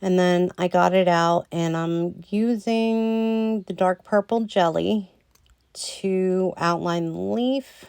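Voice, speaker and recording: A woman talking through a nail tutorial in a slow, rambling way with lots of ums, a little hoarse as she loses her voice. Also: lowish voice, darkish tone, dry recording. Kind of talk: casual voice-over tutorial.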